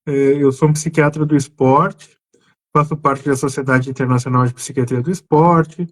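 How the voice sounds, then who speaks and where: A man's voice: a little high, a little mellow. A man from southern Brazil with a gaúcho accent, a psychiatrist guest explaining his work earnestly over a video call, with hesitant restarts. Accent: Brazilian gaúcho Portuguese. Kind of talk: conversational explanation on a live stream.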